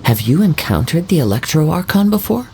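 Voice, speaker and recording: A calm, gravelly young samurai. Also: male voice, a little high, a little hiss or hum. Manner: calm, measured, poetic. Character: calm young male wandering samurai, poetic. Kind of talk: video game voice acting. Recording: soft game music underneath.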